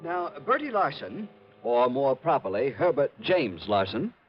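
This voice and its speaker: Fussy, flustered American insurance man, animated. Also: mid-range voice, a little slow. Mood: fussy, precise. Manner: hesitant, stammering, pedantic. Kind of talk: 1950s American radio drama acting. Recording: dull vintage radio recording.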